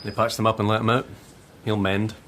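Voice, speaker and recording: Man acting low-voiced, glum detective sergeant. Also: level tone, brisk, fairly bright tone, slight background noise, close to an everyday voice. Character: glum, half-hearted male detective sergeant. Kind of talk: radio drama acting.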